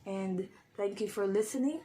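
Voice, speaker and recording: A woman tutor with a hoarse voice, talking casually to her viewers and often checking in with "okay?". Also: medium pitch, normal speed, warm tone, a little hiss or hum. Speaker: reading tutor. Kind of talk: casual vlog talk to viewers.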